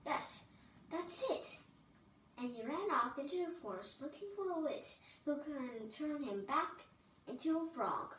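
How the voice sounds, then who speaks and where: A child reading a picture book aloud and adding asides, with expressive, drawn-out words. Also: high-pitched. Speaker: storybook reader for a channel. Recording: distant mic, roomy echo, faint noise.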